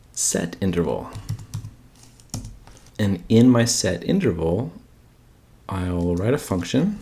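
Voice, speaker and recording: A man's voice: deep voice, creaky, darkish tone, slight background noise. A man giving an informal coding screencast tutorial, thinking aloud and often checking in with rhetorical questions. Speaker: presenter of a coding tutorial channel.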